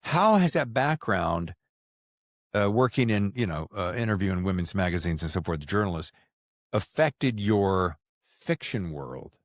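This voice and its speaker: A man hosts a relaxed, conversational interview. His voice sits on the low side but swings widely in pitch. He is folksy and informal, and the recording is close and dry. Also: unhurried, no echo. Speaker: podcast host. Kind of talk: casual conversational interviewing, storytelling.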